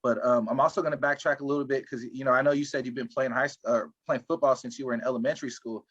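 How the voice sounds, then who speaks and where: A man's voice: mid-range voice, steady tone, rapid-fire, fairly bright tone. A man hosting a podcast, asking questions in a loose, casual way full of fillers. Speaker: podcast host. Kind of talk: casual interview. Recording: dull lo-fi mic.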